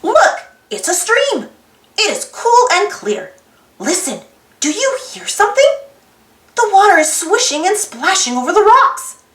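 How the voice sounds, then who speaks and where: A woman reading a children's storybook aloud in an expressive, sing-song way, putting simple questions to young listeners. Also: extremely high pitch, measured pace, echoey room. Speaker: storybook reader. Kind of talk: children's read-aloud narration.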